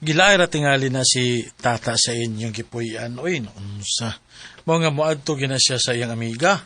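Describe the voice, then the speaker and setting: A man's voice: mid-range voice, normal speed, bright, forceful tone, soft background music, lightly acted. An actor playing a well-meaning, easygoing male friend giving advice in a radio drama. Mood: advising. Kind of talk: radio drama acting in Cebuano.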